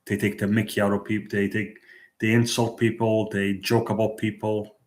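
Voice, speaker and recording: A man livestreaming at night, chatting in a hesitant, halting way with many restarts and fillers. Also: fairly low pitch, little pitch movement, echoey room. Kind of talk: late-night street livestream chat. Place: out at night in a city.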